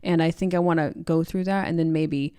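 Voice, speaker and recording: A woman's voice: deep voice, steady tone, fairly quick, warm tone, clean tone, dry recording. A woman co-hosting a podcast, talking through a book in a casual, reflective and conversational way. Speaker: podcast co-host.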